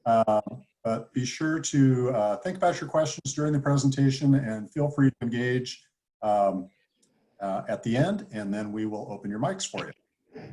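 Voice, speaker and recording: A man hosting an online meeting, giving house rules in a relaxed way with many fillers, over a video call. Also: mid-range voice, moderate pace. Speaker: business development manager hosting a webinar.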